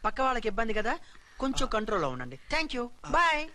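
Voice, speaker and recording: A man's voice: very high voice, big pitch swings, fast, bright, forceful tone, exaggerated voice. An actor playing a stubbornly polite male hotel receptionist in a film comedy, formal and clipped. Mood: officious. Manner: formal, clipped, mixes in English service phrases.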